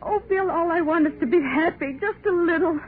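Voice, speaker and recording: A woman's voice: extremely high pitch, a little fast, phone-quality sound, a little hiss or hum. A woman playing a timid, anxious young wife in 1930s radio drama, pleading and hesitant, on a thin old broadcast. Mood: desperate. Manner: hesitant, pleading, breathlessly emotional. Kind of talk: 1930s radio drama acting, interior monologue.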